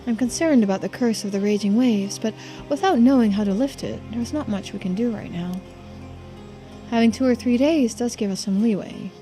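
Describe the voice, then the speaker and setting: A composed woman investigator from a mystery game, read by a woman streamer, authoritative and polite. Her voice is a little high yet measured, with music behind it. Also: dark tone, natural voice. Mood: pensive. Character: composed, shrewd female police investigator in charge.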